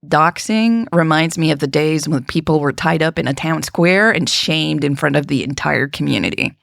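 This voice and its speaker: A woman's voice: low-pitched, moderate pace, vocal fry, fairly bright tone, no echo, studio quality. A woman playing an opinionated male podcast co-host, musing emphatically in an audio drama.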